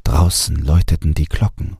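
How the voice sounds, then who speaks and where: A man reading a scripted bedtime audiobook in a calm storytelling style. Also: very deep voice, moderate pace, husky, no room sound, clean studio sound. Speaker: audiobook narrator.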